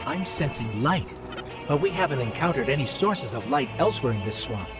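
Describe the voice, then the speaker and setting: A curious male machine companion, voiced by a man, presses with direct questions. His delivery rises and falls with energy. Loud game music fills the background. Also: medium pitch, very animated, a little fast, natural voice. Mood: probing. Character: steady male robot companion, curious and probing. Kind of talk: video game dialogue.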